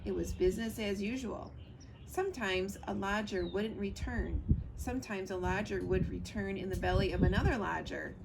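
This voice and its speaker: A woman reading a children's story aloud as a librarian would, recorded on a screened porch. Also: medium pitch. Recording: clear background noise of outdoor porch.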